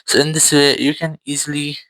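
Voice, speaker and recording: A man's voice: on the high side, little pitch movement, a little fast, a bit bright, no room sound. A man narrating a step-by-step app tutorial as its host, in an instructional, repetitive manner.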